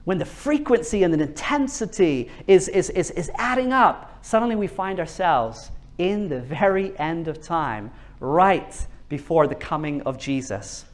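A man's voice: fairly high pitch, lively intonation, a little slow. A man preaches a sermon in an earnest, urgent way. He often repeats words as he builds momentum. He turns to his listeners with questions to draw them in. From Norway.

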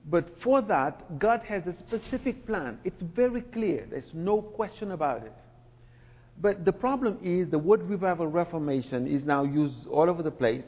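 A middle-aged man from Senegal who grew up in France, giving a talk in a relaxed, conversational way with many fillers and asides. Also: fairly high pitch, breathy edge. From Senegal, raised in France. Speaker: speaker, church representative. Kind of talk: sermon-style lecture. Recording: dull sound with long hall reverb.

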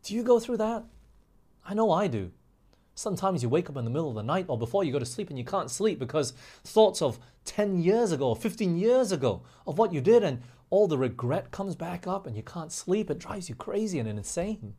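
A man preaching a sermon in an emphatic way, slowing down on key phrases and putting rhetorical questions to his listeners. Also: high voice, sing-song, dark tone, breathy edge. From grew up overseas in England, Australia and the US.

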